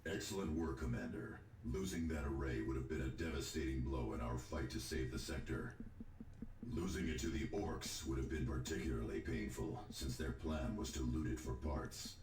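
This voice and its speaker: An actor playing a grave, formal military commander giving stern, measured mission briefings in a video game. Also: male voice, rumbling low. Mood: grave approval. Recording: echoey game audio with clear noise.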